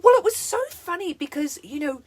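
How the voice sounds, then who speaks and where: A woman in her fifties from New Zealand with a New Zealand accent, a co-host and former actress telling animated stories in casual chat. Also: extremely high pitch, fairly quick. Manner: animated, laughing storyteller.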